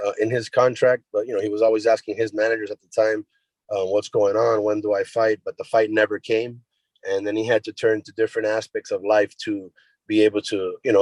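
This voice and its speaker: A man's voice: on the low side, darkish tone, no echo, low-quality recording. A man interpreting for a boxer on a media call in an informal, colloquial way. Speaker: boxer's representative and translator. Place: remote media conference call.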